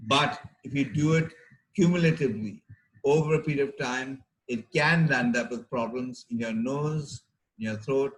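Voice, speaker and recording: A middle-aged man with an Indian accent, a doctor giving a health talk to teachers in a deliberate, measured, explanatory way with pauses between phrases. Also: medium pitch, poor audio.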